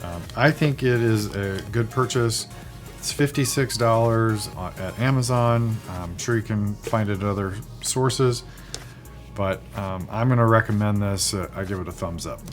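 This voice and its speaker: Low-voiced man giving a casual review. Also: normal speed, soft background music. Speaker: product reviewer, video host. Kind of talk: casual unscripted product review.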